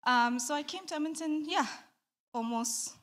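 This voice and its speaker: A woman in her thirties from Malaysia, giving a candid, soft-spoken testimony in an interview and laughing between thoughts. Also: sits high, moderate pace. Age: 30s. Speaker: church member giving testimony.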